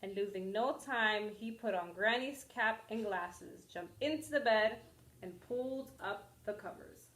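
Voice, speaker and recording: A woman reading a children's story aloud as an expressive storyteller. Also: medium pitch, measured pace, reverberant, slight background noise.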